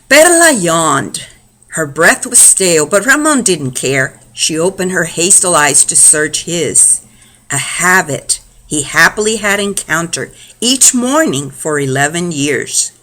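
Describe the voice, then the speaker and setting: Slow, expressive woman storyteller. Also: fairly low pitch. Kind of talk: dramatized story reading.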